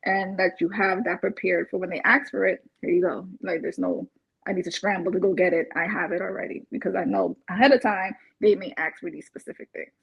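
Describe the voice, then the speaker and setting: Husky-voiced woman from Barbados, chatting casually. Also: fairly low pitch, fairly even intonation, fairly quick, dry recording. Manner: chatty, laughs often while talking. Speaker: interviewed client, first-time home buyer. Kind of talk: casual testimonial interview. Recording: dull, muffled lo-fi audio.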